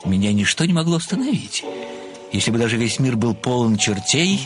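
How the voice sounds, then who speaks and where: An actor playing an obsessive, polite male admirer turned amateur detective in an audio play, speaking deliberately and rhetorically. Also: mid-range voice, very animated, faint music behind, lightly acted. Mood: earnest. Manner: deliberate, rhetorical, deferential yet insistent.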